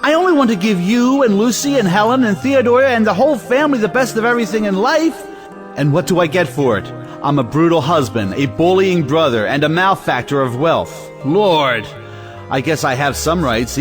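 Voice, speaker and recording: Domineering moneyed brother, male actor, period audio play. Blustering and highly animated in pitch. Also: moderate pace, music in the background, close to an everyday voice. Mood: exasperated. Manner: exasperated, domineering, emphatic. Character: blustering, bullying wealthy older brother. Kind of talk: theatrical audio drama acting of a 1917 play.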